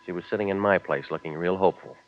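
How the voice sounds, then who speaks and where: An actor playing a wisecracking, world-weary private investigator in a 1940s radio drama, terse and sardonic. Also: male voice, sits low, rapid-fire. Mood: wry narrating. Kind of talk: 1940s radio detective drama narration and dialogue. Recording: dull 1940s radio recording, loud music.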